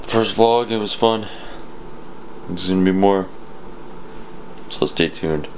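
A man's voice: sits low, slow, a little hiss or hum, rough recording. A tired young man wrapping up a casual vlog to a handheld camera, laid-back and swearing freely. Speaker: vlogger, band member. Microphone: handheld camera at arm's length.

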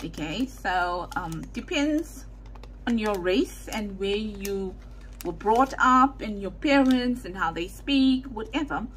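A woman's voice: mid-range voice, animated, slow pace, slight background noise, low-quality recording. A woman from South Africa with a South African Indian English accent, chatting casually as she works through a crafting video at her desk, rambling and correcting herself with muttered asides. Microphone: overhead camera setup, hands only in frame.

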